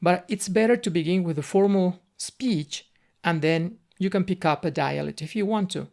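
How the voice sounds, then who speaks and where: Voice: mid-range voice, moderate pace, gravelly, darkish tone. Cuban-accented middle-aged language tutor. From Cuba. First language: Spanish. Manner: conversational, earnest, repeats words while searching for them. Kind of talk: unscripted spoken lesson monologue.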